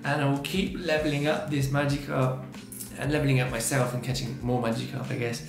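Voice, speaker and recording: A man's voice: mid-range voice, level tone, brisk, reverberant, music in the background. A man in his thirties with a strained, sore-throated voice, chatting casually as a gaming channel host while reacting to each result, recorded on a webcam mic at his desk in a small room. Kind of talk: casual let's-play commentary.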